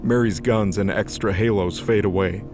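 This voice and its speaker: A man's voice: fairly low pitch, steady tone, normal speed, music in the background. A man narrating a scripted fantasy story as the narrator of an audio drama.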